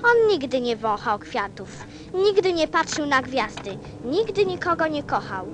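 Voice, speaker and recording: A woman's voice: high-pitched, very animated, measured pace. A woman playing a small, sensitive little prince in a radio play, with a childlike, earnest, questioning manner. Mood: upset, indignant.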